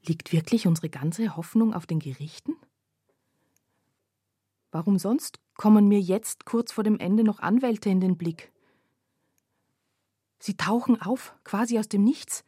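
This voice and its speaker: A woman playing a pensive first-person narrator in a literary radio play, speaking in a measured, reflective way. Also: medium pitch, dark tone, husky, dry recording, studio quality. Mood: pensive, uneasy.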